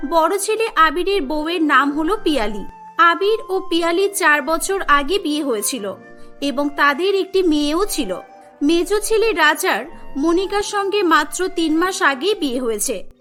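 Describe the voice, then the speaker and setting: A woman's voice: very high voice, bright, forceful tone. A woman narrating a scripted cartoon story.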